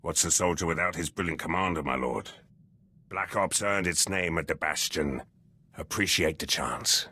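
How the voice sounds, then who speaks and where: An actor voicing a gruff, battle-hardened soldier in a video game, terse and blunt, speaking in clipped sentences. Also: male voice, low-pitched, moderate pace, sharp tone, a little hiss or hum. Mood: proud, matter-of-fact. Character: gruff, battle-hardened male military commando, loyal and brash.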